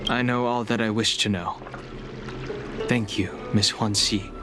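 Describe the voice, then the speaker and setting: An actor playing a calm, reserved young man who speaks tersely in video game dialogue. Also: fairly low pitch, measured pace, lightly acted. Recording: game audio with music underneath. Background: background music.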